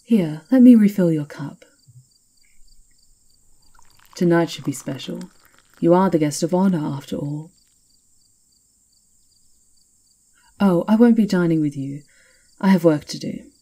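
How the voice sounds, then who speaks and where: Castle witch for ASMR fantasy roleplay, female performer: very mellow, breathy, knowing, slyly teasing, conspiratorial. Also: on the low side, normal speed. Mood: playful, teasing. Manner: teasing, confiding, theatrical.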